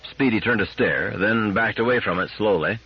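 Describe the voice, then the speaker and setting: A man with a husky voice giving a scripted narration of a science fiction story as an audiobook reader. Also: fairly low pitch, moderate pace, lo-fi sound.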